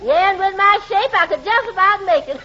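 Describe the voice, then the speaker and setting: An actress playing a sassy, good-natured housemaid with a folksy, familiar manner in 1940s radio comedy. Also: female voice, very high voice, expressive, brisk. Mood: cheerful. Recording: noisy, dull old radio recording.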